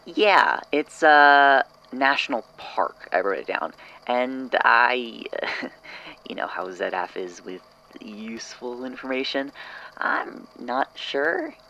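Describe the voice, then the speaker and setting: Creaky, casual, baffled colleague played by a man. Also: medium pitch, animated, slow pace, bright, forceful tone, dull audio, a little hiss or hum, heavily acted. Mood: casual, bemused. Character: casual, slightly baffled colleague relaying a message. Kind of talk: audio drama dialogue.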